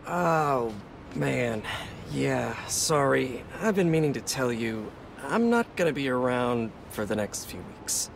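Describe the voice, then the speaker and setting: Easygoing superhero mentor, lively pitch. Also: male voice, slow pace, vocal fry, a little hiss or hum. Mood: casual, sheepish. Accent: American. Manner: casual, joking, reassuring. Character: seasoned young superhero mentor, easygoing and encouraging. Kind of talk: video game cutscene dialogue.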